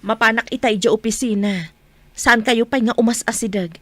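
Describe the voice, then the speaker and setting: A woman playing a gentle, protective mother in a radio drama, soft and reassuring. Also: fairly high pitch, normal speed, no echo, natural voice. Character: gentle, protective mother comforting her frightened child. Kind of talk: Ilocano radio drama acting.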